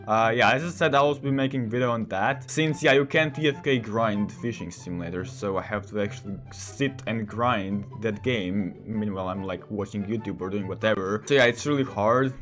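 A man's voice: lowish voice, a little fast, hardly any pauses. A sixteen-year-old male gamer who is not a native English speaker, giving casual, rambling commentary as he hosts a gameplay video. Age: 16, teenager. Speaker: gaming video host. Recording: voice under loud game music. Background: music.

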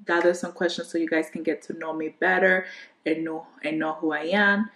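A woman's voice: low voice, steady tone, a little fast, fairly bright tone, roomy sound. A woman in her twenties from the Dominican Republic, a native Spanish speaker talking with a Dominican accent and answering questions to camera in a casual, rambling way. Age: 20s. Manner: chatty, trailing restarts, gesturing with hands. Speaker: beauty vlogger. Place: bedroom at home, indoors.

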